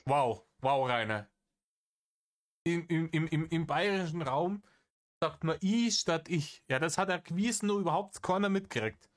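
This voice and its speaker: A man's voice: on the high side, lively intonation, unhurried. Sarcastic man commenting on clips. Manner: exasperated, sarcastic, swears freely. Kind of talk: satirical reaction commentary.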